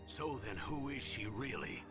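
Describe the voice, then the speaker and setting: An actor playing a stern colonel in a video game, asking clipped, probing questions. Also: male voice, medium pitch, big pitch swings, measured pace. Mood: concerned, probing. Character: stern male military colonel. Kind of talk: dramatic video game voice acting. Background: loud game music.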